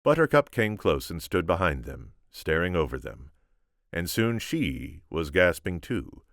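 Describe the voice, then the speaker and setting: A man reading a story aloud as expressive narration, theatrical and deliberate. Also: deep voice, no room sound, studio quality. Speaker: amateur audiobook reader.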